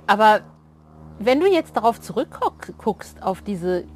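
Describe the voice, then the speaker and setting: A woman's voice: mid-range voice, big pitch swings, a little slow, a little mellow, slight background noise. A woman co-hosting an interview in a casual, teasing, informal way. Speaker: co-host, interviewer. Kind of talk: casual chat.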